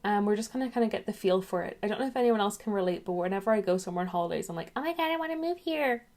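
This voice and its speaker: A woman's voice: mid-range voice, lively intonation, racing, a little mellow. Chatty woman vlogging on a webcam. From England, raised in Ireland. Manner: chatty, rambling, drifts off topic. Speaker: YouTuber. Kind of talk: casual chatty vlog.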